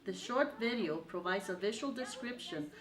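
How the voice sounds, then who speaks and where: A woman's voice: mid-range voice, lively intonation, a crowd in the background. Woman narrating formally in an echoey room. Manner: measured, formal reading. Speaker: education professor presenting. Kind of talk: scripted narration.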